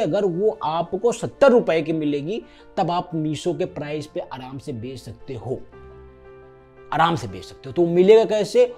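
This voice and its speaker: A man's voice: a little high, mellow. An Indian man speaking straight to viewers in an earnest promotional explainer, repeating his points and checking in with short tag questions. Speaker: app founder and video host. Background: background music.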